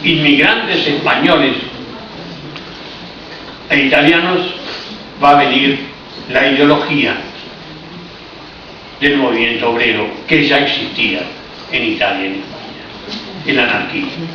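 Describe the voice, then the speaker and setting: A man with an Argentine accent lectures to an audience, explaining things patiently and adding dry, ironic asides. His voice sits at an ordinary middle pitch. It comes from a distance in a heavily echoing hall, and the recording sounds muffled, with a steady hiss of room noise. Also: unhurried, pauses often. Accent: Argentine Spanish. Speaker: speaker giving a public talk. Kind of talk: history lecture. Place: cultural hall in Paris. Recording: echoey, muffled, noisy room recording from afar.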